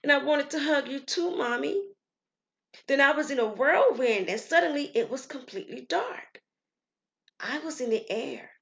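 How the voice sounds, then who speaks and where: A woman voicing an earnest, innocent daughter in an audio story, halting and full of childlike wonder. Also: high-pitched, a bit bright, dull audio, voice pushed up.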